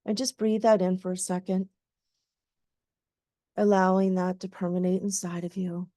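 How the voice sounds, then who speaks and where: A woman leading a guided meditation in a soothing, gentle voice with lulling phrases. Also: lowish voice, little pitch movement, measured pace, no room sound, clean studio sound.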